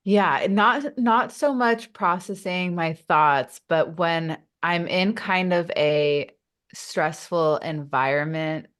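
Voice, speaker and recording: A woman's voice: on the low side, slow pace, fairly bright tone, smooth. A woman who stutters, guest on a podcast, talking openly and reflectively with many fillers and repeated sounds. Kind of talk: casual interview talk.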